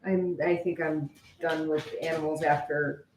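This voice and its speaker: A woman's voice: low-pitched, steady tone, a little slow, a little hiss or hum. A woman chatting casually and informally with viewers as co-host of a live stream. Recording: echoey room.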